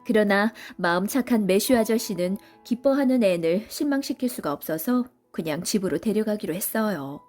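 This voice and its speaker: A woman's voice: medium pitch, animated, normal speed, few pauses, darkish tone, faint music behind. A woman reading scripted narration for a children's story as a storybook narrator.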